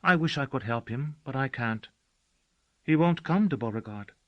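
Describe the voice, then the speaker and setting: A man voicing a calm, poised young girl who is really a turtle, speaking in a clear, warm, girlish way. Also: medium pitch, normal speed, no echo, lo-fi sound, pitched above the performer's own voice, lightly acted. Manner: serene, gentle, formal.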